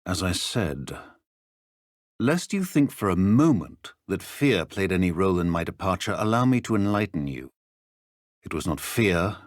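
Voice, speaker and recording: Strict british wizard teacher for a game, really deep, dry formal delivery, no room sound. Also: male voice, no room sound. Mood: measured, wry. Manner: measured, dry, formal, clipped. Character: stern, dry middle-aged wizard professor, ex-Auror. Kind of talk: video game voice acting.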